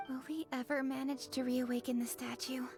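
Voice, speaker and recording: Reserved young female official for a video game: formal, proper, conscientious, over soft music. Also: sits high. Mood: resigned. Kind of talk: video game dialogue acting.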